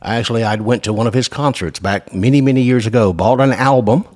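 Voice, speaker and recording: A man's voice: mid-range voice, quick pace, a little mellow. An older man, hosting a show in casual, folksy, rambling chat. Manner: folksy, rambling, repeats filler phrases. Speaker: radio show host.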